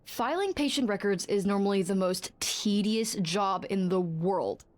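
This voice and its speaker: A woman's voice: medium pitch, lively intonation, unhurried, natural voice. Sardonic, gossipy female colleague, played by an actress. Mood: wry. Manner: dry, wry storytelling. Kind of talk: video game dialogue acting.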